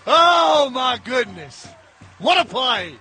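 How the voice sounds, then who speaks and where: A man's voice: extremely high pitch, sing-song, slow, pushed and bright, raised voice. A man giving informal, exclamatory colour commentary on a live football game with a folksy turn.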